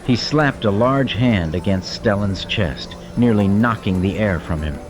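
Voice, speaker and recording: Very deep male narrator over music. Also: unhurried. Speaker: audiobook narrator. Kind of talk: dramatic scripted fiction narration.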